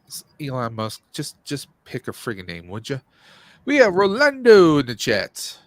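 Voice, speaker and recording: A man's voice: medium pitch, wildly swooping pitch, moderate pace, dry recording. A man in his forties hosting a casual livestream podcast, chatting informally with jokey asides. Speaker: podcast host. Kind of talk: casual livestream chat about movies and games.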